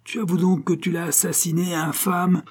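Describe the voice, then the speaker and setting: A man's voice: on the high side, animated, moderate pace, warm tone, husky, voice pushed up. A man voicing a furious young nobleman bent on avenging his father, with strident, accusing outbursts in an audiobook. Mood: enraged. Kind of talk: audiobook dialogue acting.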